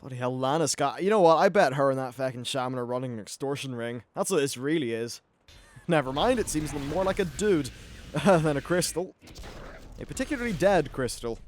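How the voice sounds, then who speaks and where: A man's voice: mid-range voice, lively intonation, fairly quick. A man giving casual, informal let's-play commentary on an online fantasy game, mildly sweary as he reacts to what unfolds. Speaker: gaming YouTuber.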